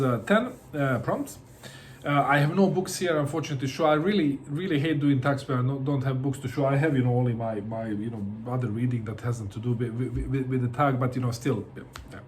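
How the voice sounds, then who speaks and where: A middle-aged man from Bosnia talks casually to camera in a mid-pitched voice. He hesitates often and repeats his words as he searches for them. A faint hiss runs behind him. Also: a little fast. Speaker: book vlogger.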